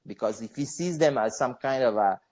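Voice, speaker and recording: A man's voice: medium pitch, a little fast, darkish tone. A young man born in Bosnia, guest on a podcast, chatting casually in a rambling way, with frequent fillers, restarts and swearing. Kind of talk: casual interview chat.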